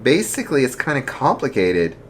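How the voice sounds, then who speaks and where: A man's voice: medium pitch, wildly swooping pitch, brisk, voice pushed up. A storyteller voicing a weary young man on the run, speaking earnestly, close to their own voice.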